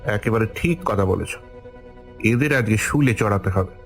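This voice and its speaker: A man's voice: lowish voice, moderate pace, soft background music, natural voice. A man playing a despotic, hot-tempered village headman in a cartoon, with a commanding, blustering way of talking. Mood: angry, commanding. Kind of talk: Bengali cartoon voice acting.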